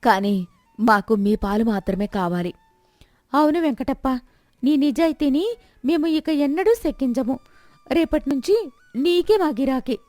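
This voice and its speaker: A woman's voice: high-pitched, measured pace, long pauses, a little mellow, no echo, faint music behind, pitched above the performer's own voice. A woman voicing contrite, pleading villagers in an earnest manner for a story.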